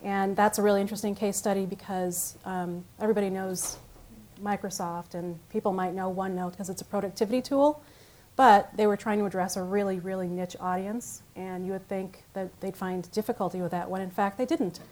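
A woman whose first language is Italian speaks to a conference audience. Her pitch sits in an ordinary middle range. She keeps it conversational, pausing on fillers and restarting her phrases as she goes. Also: fairly even intonation, darkish tone. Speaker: conference presenter. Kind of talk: conference talk.

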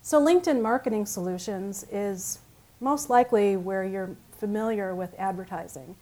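A woman whose first language is Italian, presenting at a conference in a conversational way, with frequent pauses and restarts. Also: medium pitch, dark tone. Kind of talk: conference talk. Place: conference room with audience.

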